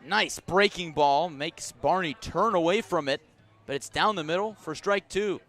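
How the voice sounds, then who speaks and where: Higher-voiced man calling baseball. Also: a bit bright. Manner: descriptive, measured between plays, punchy calls on action. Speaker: baseball play-by-play radio announcer. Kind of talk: live sports commentary. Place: outdoor ballpark broadcast.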